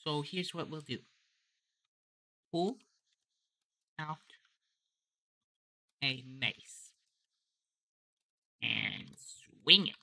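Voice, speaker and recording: Casual game host, slow and halting, very bright forceful voice, close dry sound. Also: mid-range voice, expressive, vocal fry, no echo. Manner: hesitant, thinking aloud while typing. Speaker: gamer host. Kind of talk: casual game playthrough commentary.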